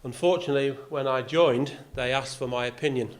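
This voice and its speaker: A man's voice: medium pitch, brisk. Older man, faint yorkshire accent, club talk, dry wit, lots of uh fillers. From Yorkshire, England. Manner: dry self-deprecating humour, many "uh" fillers. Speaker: golf club vice captain giving a talk. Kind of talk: speech to an audience. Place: clubhouse function room.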